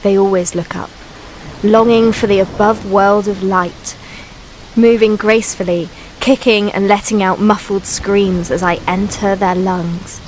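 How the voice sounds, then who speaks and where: A woman plays the Sea itself, narrating a radio drama. She is grave and brooding, speaking slowly in measured poetic phrases at a medium pitch, with faint watery ambience behind her. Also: steady tone, slight background noise, close to an everyday voice, rough recording. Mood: solemn, brooding.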